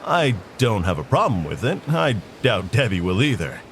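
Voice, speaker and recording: Man playing an eccentric, animated FBI agent. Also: on the low side, normal speed, a little hiss or hum. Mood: brisk, quirky. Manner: quirky, formal, articulate speech. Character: eccentric, chatty male FBI agent dictating to tape recorder. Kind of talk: audio drama acting.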